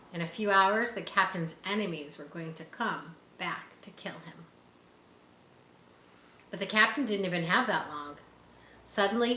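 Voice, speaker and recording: Bright-voiced woman reading a story aloud. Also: fairly low pitch. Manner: dramatic, explaining to young listeners. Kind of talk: children's book read-aloud with asides. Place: bright room by large windows. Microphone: camera a short distance away. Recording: roomy, some background noise.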